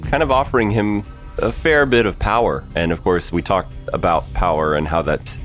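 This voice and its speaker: A man with a very deep voice co-hosts a relaxed podcast chat, asking questions and dropping quick jokes. Faint music plays underneath. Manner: easygoing, quick joking asides. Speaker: podcast co-host. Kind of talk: casual behind-the-scenes chat.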